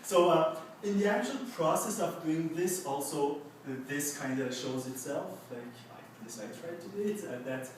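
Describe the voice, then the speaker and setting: A man's voice: on the high side, lively intonation, moderate pace, few pauses, noisy background. Hesitant young man, echoey and distant. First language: Farsi. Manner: hesitant, loose, many filler words and restarts. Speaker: artist presenting his paintings. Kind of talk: unscripted gallery talk. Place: art exhibition space indoors. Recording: very echoey room, voice from far off.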